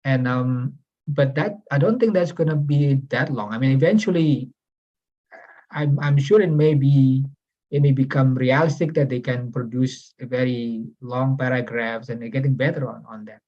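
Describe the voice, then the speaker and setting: A man whose first language is Indonesian, moderating a casual panel discussion on a video call, speaking haltingly with many fillers and restarts. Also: mid-range voice. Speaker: webinar moderator. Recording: dull lo-fi video call audio.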